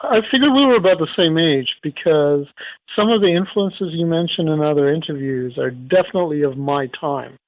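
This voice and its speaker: A man's voice: fairly high pitch, moderate pace, no echo. A man in his forties from Sri Lanka, interviewing a guest in loose, rambling casual chat and apologizing now and then. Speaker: podcast host. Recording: dry, tinny phone-like line.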